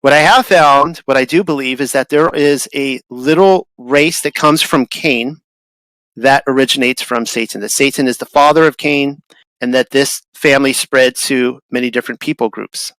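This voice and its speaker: A man's voice: mid-range voice, normal speed, a bit bright, dry recording, rough recording. A man talking in a casual, rambling way, often restarting and repeating words as a guest. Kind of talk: casual conversational talk.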